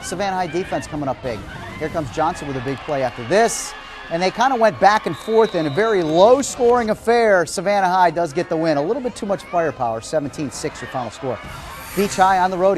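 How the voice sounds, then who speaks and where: An American man anchoring high school football highlights in an excited, punchy broadcast delivery. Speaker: sports anchor. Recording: TV sports broadcast with music behind. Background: music bed.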